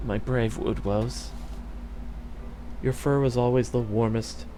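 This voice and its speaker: A man voicing a cold, aloof fairy queen who speaks quietly and condescendingly. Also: medium pitch, measured pace, slight background noise, natural voice. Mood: tender scorn.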